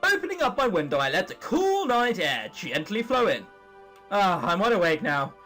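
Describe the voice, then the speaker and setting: A young troll girl voiced by a man tells her storybook tale. She is fretful and dramatic, with a high, very animated voice. Music sits faintly behind her. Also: moderate pace, full character voice. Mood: weary, wistful. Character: young female troll narrator, flustered, embarrassed, defensive storybook heroine. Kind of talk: visual novel narration read aloud.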